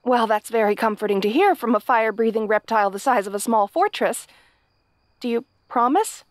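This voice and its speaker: Woman acting a high-voiced, kind young fantasy princess. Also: brisk, no echo, studio quality, natural voice. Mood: frightened, pleading. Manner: nervous, stammering, chatty and self-deprecating. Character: kind, hapless young princess, timid but brave, fantasy. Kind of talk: fantasy audio roleplay addressed to the listener. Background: night sounds with crickets.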